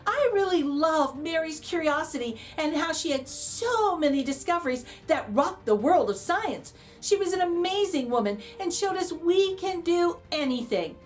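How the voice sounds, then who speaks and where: A woman reading a children's picture book aloud as a storytime host, theatrically, with dramatic pauses and emphasis. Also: high-pitched.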